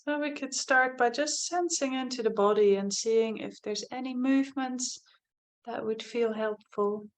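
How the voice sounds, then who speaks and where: Gentle, unhurried woman guiding meditation. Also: a little high. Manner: gentle, unhurried, softly inviting, with long pauses. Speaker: meditation teacher. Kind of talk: guided body scan meditation.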